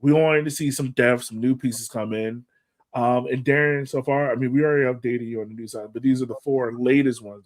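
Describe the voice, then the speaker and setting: Male American podcast co-host, casual sports talk, mid-range voice. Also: medium pitch.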